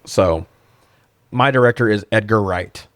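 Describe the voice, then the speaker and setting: A low-voiced man chats casually about movies as a podcast co-host. He rambles through wry asides and swears freely. The sound is dry, with no room on it. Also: a little slow, dry recording. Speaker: podcast co-host reviewing films. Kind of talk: casual film chat with swearing.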